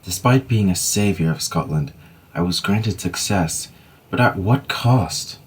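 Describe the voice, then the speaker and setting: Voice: sits low, expressive, a little slow, slightly rough, heavily acted. A voice actor playing Macduff, a weary, grief-struck Scottish nobleman who speaks in a solemn, formal, old-fashioned way in an amateur audio drama. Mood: somber.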